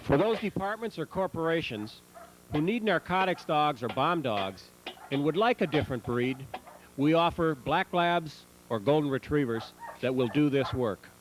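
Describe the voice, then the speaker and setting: A man reading scripted promotional narration in a measured, instructional manner. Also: a little high, lively intonation. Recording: noisy old VHS tape transfer.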